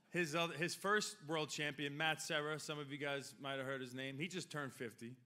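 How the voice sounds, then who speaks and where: Bright-voiced man, rambling speech. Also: a little high, fairly even intonation, normal speed. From Long Island, New York. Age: 40s. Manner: rambling, joking, heartfelt. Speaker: hall of fame inductee. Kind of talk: acceptance speech.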